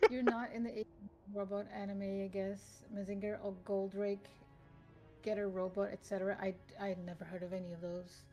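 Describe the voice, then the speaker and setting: A woman's voice: mid-range voice, flat delivery, normal speed, long pauses, warm tone, low-quality recording. Brief: non-native English-speaking woman streamer, chatty and giggly, faint music under her voice. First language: not English. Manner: chatty, giggly, trails off mid-thought. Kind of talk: casual gaming stream chat.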